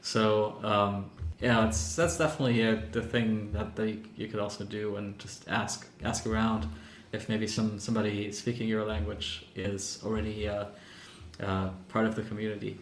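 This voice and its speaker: A man who is a native German speaker, talking in a casual online discussion in a halting way, with many restarts and fillers. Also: on the low side, level tone, roomy sound, slight background noise. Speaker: round table panelist.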